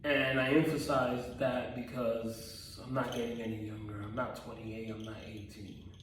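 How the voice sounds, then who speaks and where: A man's voice: mid-range voice, flat delivery, moderate pace, fairly bright tone, distant mic, a little hiss or hum. A man in his thirties giving a personal testimony vlog, earnest and repetitive, with frequent ums and self-corrections. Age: 30s. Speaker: vlogger. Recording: very echoey room, voice away from the mic.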